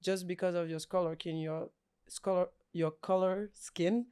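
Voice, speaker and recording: A woman's voice: lowish voice, fairly even intonation, normal speed, dark tone, clean tone. A young woman from Belgium, a guest speaking thoughtfully in a casual podcast interview.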